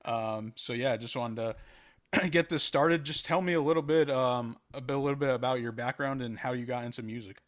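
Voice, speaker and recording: A man hosting a podcast, asking questions in a casual, halting way. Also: mid-range voice. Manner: casual, halting, with fillers and restarts. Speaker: podcast host. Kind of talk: casual interview chat.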